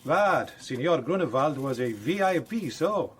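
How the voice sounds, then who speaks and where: An actor playing an earnest, matter-of-fact Italian police officer in a radio drama, speaking deliberate, slightly stilted English. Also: male voice, fairly high pitch, lively intonation, a little hiss or hum. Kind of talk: naturalistic radio drama acting.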